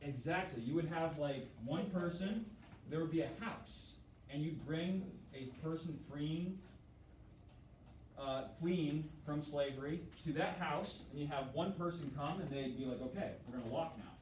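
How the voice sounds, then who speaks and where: A man teaching a classroom lesson, energetic, prompting students and acting out how things worked. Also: fairly high pitch, measured pace, distant mic. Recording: very echoey classroom from afar, noisy.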